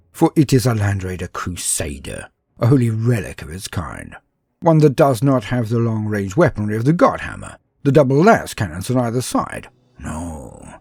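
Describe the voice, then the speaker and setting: A man voicing a proud, battle-hungry space marine in a sci-fi war story, with a husky voice and a haughty, grandiose manner. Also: on the low side, darkish tone, no echo, full character voice. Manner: haughty, scornful, grandiose, dramatic. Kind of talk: first-person dramatic sci-fi war storytelling.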